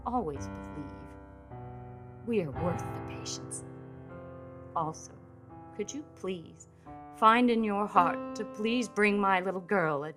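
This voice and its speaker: A woman playing a grateful, ailing mother who voices her letter in an audio drama, measured, heartfelt and pleading. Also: mid-range voice, fairly bright tone. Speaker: actor in an audio play.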